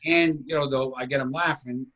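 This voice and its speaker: A man with a strong Rhode Island accent hosting a casual comedy video chat, loud and projecting, laughing easily. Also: medium pitch, fairly even intonation, normal speed, lo-fi sound. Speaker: comedy show host. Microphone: video call.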